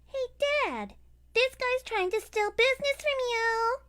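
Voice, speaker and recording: A woman voicing a whiny, tattling little girl in a shrill, childlike cartoon animatic voice. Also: animated, a little slow, pushed and bright, no room sound, exaggerated voice. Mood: whiny, tearful.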